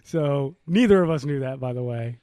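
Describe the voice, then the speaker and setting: A man's voice: medium pitch, lively intonation, normal speed, dark tone, dry recording, clean studio sound. A man co-hosting a podcast in casual banter, with a playful, teasing, exclamatory way of talking. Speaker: podcast co-host.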